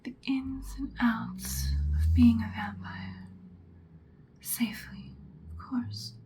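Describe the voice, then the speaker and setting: A woman whispering as an ancient female vampire slayer, seductive and stern, in an ASMR roleplay with slow, deliberate, breathy delivery. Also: a little high, steady tone, dark tone. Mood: wistful, stern.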